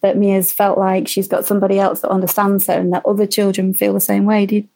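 A woman in her forties, a mother guesting on a podcast, talking hesitantly with many pauses. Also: mid-range voice, monotone, creaky, mellow. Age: 40s. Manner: hesitant, many fillers and restarts. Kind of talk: casual interview chat.

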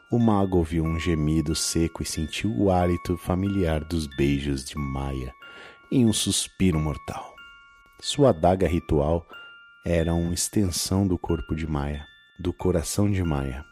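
Very deep male horror narrator. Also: a little mellow. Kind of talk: dramatic scripted horror story narration.

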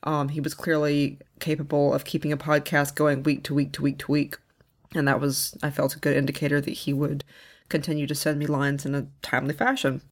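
A woman giving informal spoken advice in a measured, explanatory manner. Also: low-pitched, level tone. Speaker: audio fiction producer and contributor.

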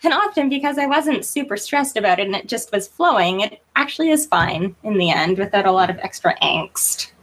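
A woman's voice: medium pitch, expressive, fairly quick, few pauses, fairly bright tone. A woman chatting casually as a guest author in an interview, informal and self-deprecating in manner.

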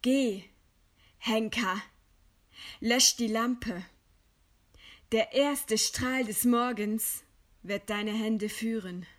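A woman playing a proud, resigned idealist facing execution in a literary radio play, with a solemn, measured, declamatory delivery. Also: a little high. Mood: solemn, resolute.

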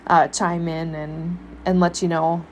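Relaxed woman hosting a mom podcast. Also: lowish voice, a little slow, slight background noise. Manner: relaxed, laughs often, many ums. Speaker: podcast host. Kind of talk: casual solo podcast talk.

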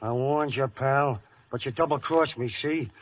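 An actor playing a tough gangster who snarls threats in clipped slang, in a 1930s radio play. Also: male voice, medium pitch, a little slow, close to an everyday voice. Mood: menacing. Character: tough male gangster holding people at gunpoint. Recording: dull 1930s radio broadcast with long reverb.

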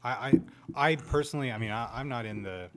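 A man's voice: mid-range voice, fast talker. A man chatting casually as a podcast interviewer. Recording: clear background ambient noise.

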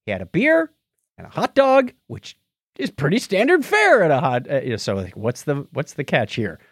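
A man's voice: on the high side, wildly swooping pitch, moderate pace, no room sound, clean studio sound. A man co-hosting a podcast, chatting casually and jokily about news, often chuckling as he goes. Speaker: podcast co-host. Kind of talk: casual tech news chat.

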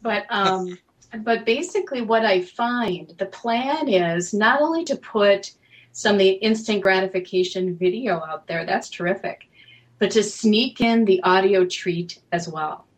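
A woman's voice: medium pitch, a little slow, poor audio. A woman speaking as a guest writer-producer in a casual panel discussion.

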